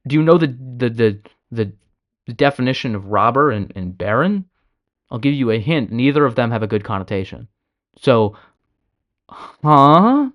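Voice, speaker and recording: A man talks over a clip he is reacting to, sounding exasperated and blunt, with curse words scattered through. His pitch sits in the ordinary middle range for a man. He uses a casual, off-the-cuff commentary style. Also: expressive, moderate pace, a bit bright. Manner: exasperated, blunt, swears often. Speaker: reaction video host.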